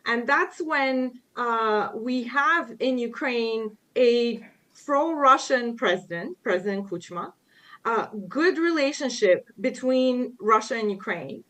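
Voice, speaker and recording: Lady lecturer taking q&a, talks slow with lots of ums, voice sits a bit high. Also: expressive, a bit bright. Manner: measured, with frequent pauses and fillers. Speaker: guest lecturer answering audience questions. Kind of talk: public lecture Q&A. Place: public library event room.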